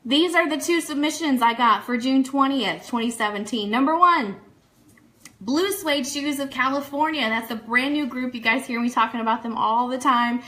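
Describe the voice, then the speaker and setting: A woman's voice: high-pitched, normal speed, fairly bright tone. A woman hosting a live online radio show, chatting through fan news and announcements in an upbeat, enthusiastic way that speaks straight to listeners. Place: studio.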